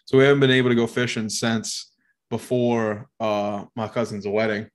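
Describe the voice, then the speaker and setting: A man with a nasal voice co-hosts a podcast in a loose, rambling way, swearing and laughing as he goes. His voice sits slightly low in pitch. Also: flat delivery, moderate pace. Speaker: podcast co-host. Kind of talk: casual podcast chat.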